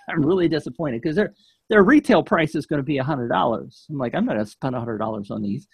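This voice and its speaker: A man's voice: fairly high pitch, lively intonation, quick pace, breathy edge, no room sound. Middle-aged man, dry close-miked podcast voice. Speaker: podcast co-host and guest. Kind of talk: casual chat, product review. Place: home office indoors. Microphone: studio microphone on shock mount, close up.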